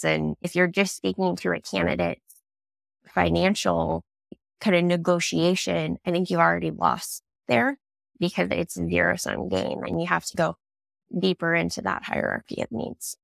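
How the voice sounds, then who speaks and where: Woman with a gravelly, cold-scratchy voice. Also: fairly low pitch, no room sound. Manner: thoughtful, earnest, with small restarts. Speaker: guest, clean energy startup leader. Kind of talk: podcast interview answers.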